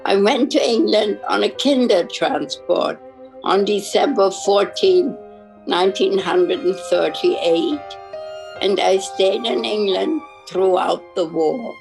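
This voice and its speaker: A man in his nineties from Germany, a Holocaust survivor, slowly and carefully reciting dates and names in a solemn remembrance. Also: high voice, creaky, music in the background, rough recording. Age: 90s. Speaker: Holocaust survivor lighting a memorial candle.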